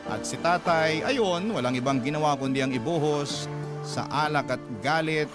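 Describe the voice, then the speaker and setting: A man reads out a listener's letter as scripted dramatic narration, in slow, emotional storytelling. His voice sits slightly high. A loud music bed plays beneath him.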